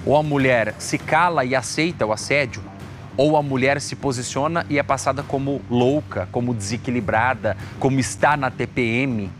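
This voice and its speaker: A man's voice: medium pitch, moderate pace. A young man with a Brazilian accent presenting live TV news in a conversational commentary style from a studio on a lapel mic. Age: young adult. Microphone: lapel microphone. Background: music bed.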